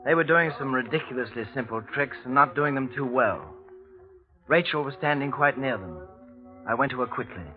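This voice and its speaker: An actor as a troubled young gentleman, dull old-radio sound. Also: medium pitch, animated, moderate pace, close to an everyday voice. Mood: grave narration. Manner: formal, literary, dryly sardonic. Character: troubled young gentleman in love, narrating a mystery. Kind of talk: old radio drama acting and first-person narration. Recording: dull old radio recording, music behind.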